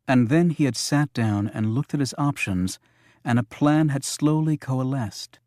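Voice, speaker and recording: A man's voice: medium pitch, dark tone, no echo. Male audiobook narrator, dry close recording. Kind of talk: scripted narration of a thriller audiobook.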